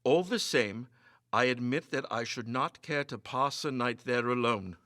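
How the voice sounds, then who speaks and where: A man voicing a skeptical, politely derisive gentleman with deliberate, scornful speech in a storybook reading. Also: mid-range voice, dry recording, clean studio sound, natural voice.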